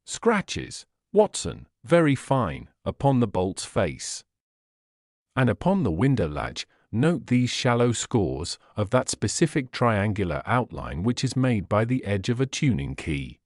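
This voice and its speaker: A coolly analytical gentleman detective of Victorian times, read by a male narrator. He is precise, erudite and dry, in a low voice with lively movement, as heard in an audiobook. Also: a little slow, dry recording. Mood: analytical, assured. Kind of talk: audiobook character voice.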